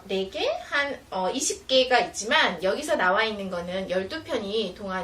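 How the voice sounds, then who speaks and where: A woman's voice: medium pitch, a bit bright. A woman giving an informal, patient, step-by-step explanation of teaching materials to parents, like an educator. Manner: patient, step-by-step, acts out mother-child example dialogue. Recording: roomy, distant mic, faint noise.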